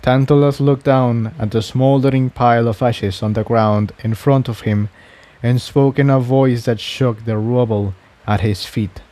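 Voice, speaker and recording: A man's voice: medium pitch, steady tone, measured pace, dark tone. A man reading fan fiction aloud as scripted story narration.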